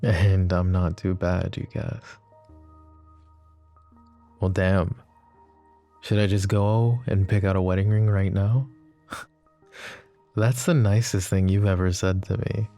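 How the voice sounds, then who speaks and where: Casting: male actor as smitten mafia boss for romance ASMR; very low, creaky voice, flirty yet self-deprecating, hesitant murmur. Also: steady tone, slow pace, long pauses, warm tone, faint music behind, exaggerated voice. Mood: teasing, flirty. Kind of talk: intimate ASMR romance roleplay.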